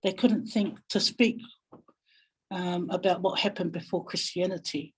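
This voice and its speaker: A woman with a New Zealand accent speaking reflectively in a webinar, pausing thoughtfully and searching for her words. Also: lowish voice, fairly even intonation, breathy edge, rough recording. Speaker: guest webinar speaker, family violence practitioner.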